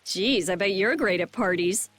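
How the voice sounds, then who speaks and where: A woman playing a prickly, sharp-tongued teenage girl mage in a video game, blunt and scornful. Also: medium pitch, normal speed, close to an everyday voice. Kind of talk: video game dub acting.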